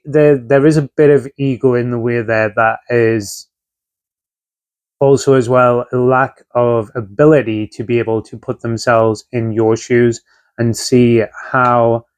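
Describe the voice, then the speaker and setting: A man's voice: mid-range voice, a little mellow, smooth, no echo. A man giving a casual tarot reading, talking conversationally with frequent fillers while getting over a chest infection. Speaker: tarot reader.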